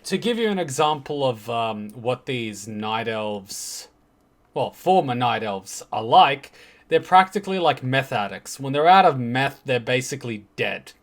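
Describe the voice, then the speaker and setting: A man's voice: mid-range voice, animated, measured pace. A man hosting a game story reaction, commenting casually and irreverently, repeating words for emphasis.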